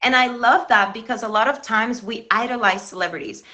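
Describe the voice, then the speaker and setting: Cuban-born woman on her live stream, bright voice, a bit high, pep-talking her viewers. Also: a little fast. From Cuba. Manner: earnest, encouraging, addresses viewers directly. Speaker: live stream show host. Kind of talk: motivational live-stream talk.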